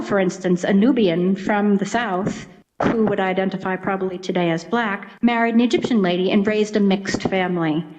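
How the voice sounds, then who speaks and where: A woman's voice: mid-range voice, a little mellow. A woman speaking as an expert guest, explaining things conversationally and acting out little imagined quotes as she goes. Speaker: Egyptologist, interview guest. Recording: dull, lo-fi audio.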